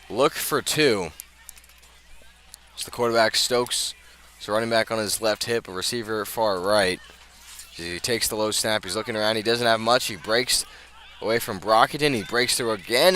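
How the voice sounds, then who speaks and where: Guy doing live high school football play by play, bright punchy voice, bit of outdoor stadium noise. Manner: builds from measured narration to raised, excited calls. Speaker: play-by-play sports commentator. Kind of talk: live American football radio commentary.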